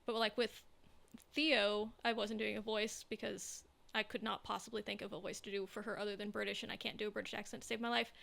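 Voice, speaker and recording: A woman from Southern California with vocal fry, co-hosting a casual podcast in a relaxed, rambling way. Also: medium pitch, a little fast. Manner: relaxed, rambling, trailing off mid-thought. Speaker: podcast co-host. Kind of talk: casual chat.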